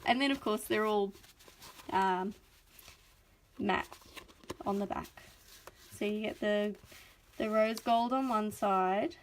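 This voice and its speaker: A woman's voice: mid-range voice, slow, pauses often, slight background noise, rough recording. A young adult Australian woman hosting a chatty live craft unboxing, gushing and enthusiastic, a little sniffly from a cold. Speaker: craft video host.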